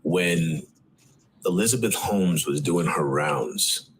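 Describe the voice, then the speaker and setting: A man in an interview clip, telling an animated story with plenty of "like" and asides. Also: sits low, takes it slowly, slight background noise, rough recording. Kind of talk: casual interview talk.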